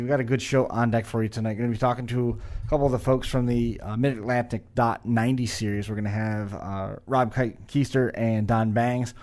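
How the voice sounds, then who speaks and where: A man's voice: medium pitch, a little mellow. A man co-hosting a casual podcast, moderating the conversation in a studio. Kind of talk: casual talk show chat.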